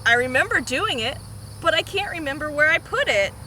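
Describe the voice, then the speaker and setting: Woman reading as high-voiced, disorganized schoolboy. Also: very animated, fairly quick, sharp tone, a little hiss or hum, exaggerated voice. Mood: matter-of-fact. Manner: plain storybook read-aloud. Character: disorganized, easygoing schoolboy narrating his own story.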